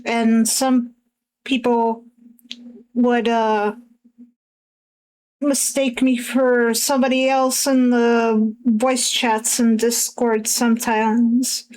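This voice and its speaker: A young woman with a husky voice that sits a little high for her, chatting on a livestream. She talks slowly and unhurriedly, hesitating and circling back with lots of fillers as she thinks aloud. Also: flat delivery, a little mellow, rough recording. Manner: hesitant, halting, many fillers and restarts. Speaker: streamer. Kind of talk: casual chat while drawing on stream.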